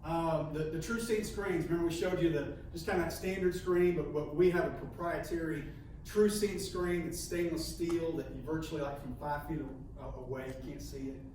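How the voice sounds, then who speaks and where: A man leading a sales training session, halting and repeating words, often ending points with "okay?". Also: on the high side, fairly even intonation, a little mellow. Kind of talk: coaching a training session with role-play. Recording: echoey room, mic far off, faint hiss.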